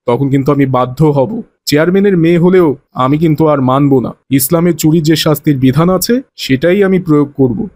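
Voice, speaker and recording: A man plays a righteous village father in a moral cartoon. His pitch is a little high for a man. He lectures in a measured, stern but forgiving manner. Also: a little mellow, natural voice.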